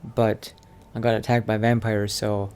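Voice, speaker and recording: A man playing an anxious young mage apprentice in a video game roleplay, talking haltingly and repeating himself. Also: lowish voice, little pitch movement, darkish tone, clean tone, lightly acted. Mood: polite, hesitant. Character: anxious young male mage apprentice fearing he is becoming a vampire.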